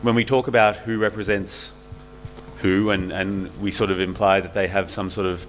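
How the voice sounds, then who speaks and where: A man's voice: sits low, flat delivery, normal speed, background noise. A man speaking as a panelist at a conference, thoughtful and hedging as he works through his points. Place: conference hall.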